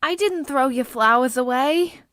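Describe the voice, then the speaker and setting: A woman's voice: sits high, unhurried, a bit bright, smooth, no room sound, studio quality. A woman playing a sweet, devoted young daughter in a vintage-style radio play. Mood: tender. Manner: sweet, pleading. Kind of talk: 1940s radio acting.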